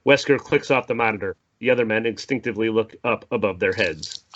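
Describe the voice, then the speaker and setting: A man's voice: on the low side, a little fast, a bit bright, highs cut off, a little hiss or hum. A man reading stage directions as narrator in a scripted podcast table read.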